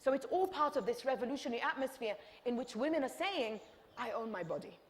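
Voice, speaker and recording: Woman, fired up, keeps repeating herself for punch, kinda high voice, big echoey hall. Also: animated, fast, slight background noise. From Egypt. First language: Arabic. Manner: impassioned, rhetorical repetition. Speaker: author and panel speaker. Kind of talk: lecture Q&A answer. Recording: reverberant hall.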